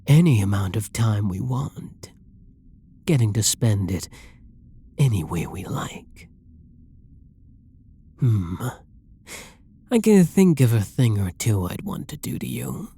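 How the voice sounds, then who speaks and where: A husky, flirtatious villain, as acted by a performer for an intimate ASMR roleplay, dominant and possessive. The delivery is slow and teasing, swooping in pitch and slipping into breathy near-whispers, with a soft, dark tone and gravelly creak. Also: big pitch swings, full character voice. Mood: teasing, seductive. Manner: teasing, drawling, pet-name-laden purr.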